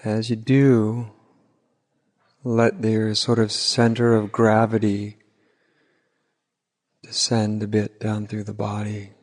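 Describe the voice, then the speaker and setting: Guy leading a meditation, really slow n gentle, pretty even tone, big echoey hall. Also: lowish voice. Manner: hushed, gentle, unhurried with long pauses. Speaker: meditation teacher. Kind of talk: unscripted guided meditation. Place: large reverberant room at a retreat. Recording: hall reverb, live retreat recording.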